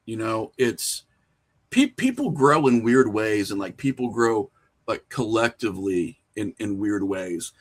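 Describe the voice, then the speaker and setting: A man's voice: on the low side, expressive, measured pace. A middle-aged man chatting casually as a podcast guest, candid and self-mocking, with loose profanity. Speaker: podcast guest, horror filmmaker and writer. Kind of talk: casual interview chat.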